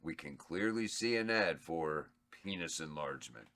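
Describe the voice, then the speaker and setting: Man, deep voice, reading stage directions, laid-back, chuckling. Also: animated, moderate pace, a bit bright. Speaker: script reader and cast member.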